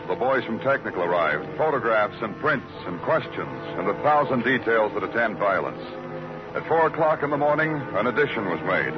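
An actor playing a world-weary police detective in an old radio noir drama, terse in dialogue and brooding when he narrates. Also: on the low side. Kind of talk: 1940s-50s radio noir narration and acting. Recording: dull old radio recording, music behind.

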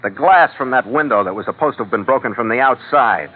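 A man's voice: mid-range voice, expressive, fast, close to an everyday voice. An American actor playing a tough, wry sea captain who narrates his own crime adventure in a dry, sardonic, clipped old radio drama style. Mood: firm, stubborn. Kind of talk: 1940s-50s American radio drama acting, hardboiled narration. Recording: tinny old radio recording, music behind.

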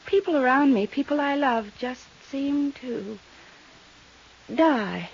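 An actress playing a haunted, unstable young widow with deliberate, intense delivery and loaded pauses in a 1950s radio drama. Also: female voice, high voice, animated, gravelly, full character voice. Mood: haunted, bitter. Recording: muffled, hissy vintage radio recording.

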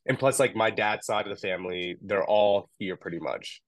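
A man's voice: fairly low pitch, normal speed, dry recording. A young man talking casually as an interview guest, rambling a little with lots of "um" and "like". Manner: relaxed, rambling, many fillers like "um" and "like". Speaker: podcast guest, founder of a food retailer.

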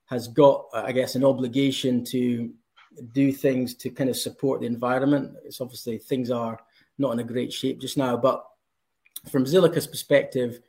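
A Scottish man speaks with a gravelly edge and an enthusiastic push. He talks at a middling pitch, breaking his sentences up with ums. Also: fairly even intonation, moderate pace, a little mellow. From Scotland. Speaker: company representative. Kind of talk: panel interview answers.

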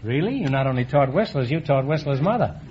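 A man hosting a quiz show, cracking quick puns and teasing his contestants. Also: medium pitch, animated. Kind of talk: joking quiz show banter. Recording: dull old radio broadcast with hiss.

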